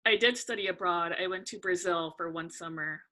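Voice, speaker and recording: Bright-voiced young woman, relaxed chat. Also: on the low side. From California. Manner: relaxed, thoughtful, frequent "um" pauses. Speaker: guest. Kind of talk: casual interview conversation.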